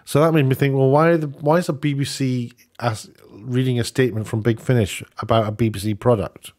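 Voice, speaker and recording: A man's voice: mid-range voice, fairly even intonation, a little fast, darkish tone. A man presenting an online video, giving off-the-cuff, opinionated news commentary in a rambling way, often rephrasing himself.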